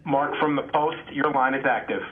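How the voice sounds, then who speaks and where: Male call moderator, brief formal announcements, mid pitch, thin telephone audio. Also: moderate pace. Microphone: phone conference line. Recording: narrow, tinny telephone line.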